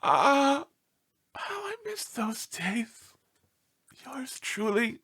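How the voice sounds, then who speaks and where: A plaintive, melodramatic pet dog from a storybook, acted out by a man. The voice is bright and swings widely in pitch, sinking into mournful, breathy sighs as it plays up its suffering. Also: very animated, very slow, full character voice. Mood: wistful, pleading. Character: melodramatic, self-pitying pet dog writing plaintive letters home. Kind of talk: storybook read-aloud character.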